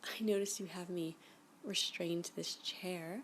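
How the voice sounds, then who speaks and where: A woman playing a flirty, obsessive stalker in an ASMR roleplay, breathy and often whispering, with a coy, smitten manner. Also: fairly low pitch, fairly even intonation, a little hiss or hum, lightly acted. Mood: flirty, coaxing. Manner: coy, slow, unhurried, with giggly asides.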